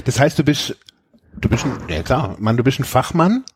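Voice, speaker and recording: A man's voice: medium pitch, very animated, normal speed, slightly rough, no room sound, clean studio sound. A man interviewing a guest, giving short replies and asking follow-up questions. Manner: brief backchannel replies, probing questions. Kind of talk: conversational interview.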